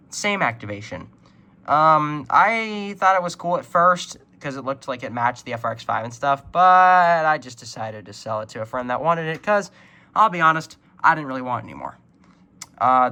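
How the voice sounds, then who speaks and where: A man's voice: on the high side, pushed and bright. A man casually walks viewers through a hobby collection in an informal, matter-of-fact way. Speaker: hobbyist video presenter.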